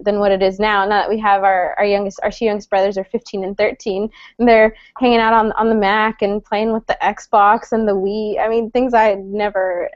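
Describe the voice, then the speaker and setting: A woman's voice: mid-range voice, no room sound. A woman in her thirties from El Salvador, moderating an online panel in a friendly, chatty way with many fillers and restarts. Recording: dull lo-fi dry sound.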